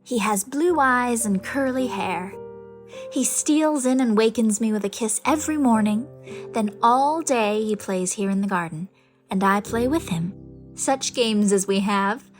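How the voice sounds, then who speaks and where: A woman's voice: on the high side, a little slow, close to an everyday voice. Woman playing a dreamy, wistful storybook lady, music behind. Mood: tender. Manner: dreamy, tender. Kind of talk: dramatized audiobook character reading.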